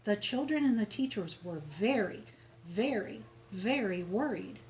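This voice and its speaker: A woman's voice: medium pitch, expressive, measured pace, a little mellow, a little hiss or hum, rough recording. A woman reading a children's story aloud, expressively and with emphatic, dramatic phrasing. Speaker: storybook reader.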